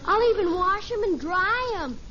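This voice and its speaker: A woman's voice: very high-pitched, lively intonation, moderate pace, pushed and bright, full character voice. An actress playing an earnest, blunt little American girl in a 1950s radio sitcom. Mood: matter-of-fact. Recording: muffled, noisy old radio recording.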